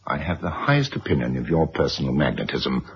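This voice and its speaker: A man's voice: rumbling low, expressive, a little fast, creaky, full character voice. An actor playing a domineering, condescending middle-aged wealthy father in a radio drama. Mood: coolly sardonic. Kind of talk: 1970s radio drama acting. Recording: muffled, hissy old radio drama recording.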